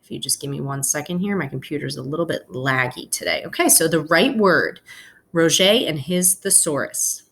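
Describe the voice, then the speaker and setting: A woman's voice: low-pitched, normal speed, a bit bright, breathy edge. A woman teacher speaking to students as she reads a children's picture book aloud, explaining things informally and phrasing carefully.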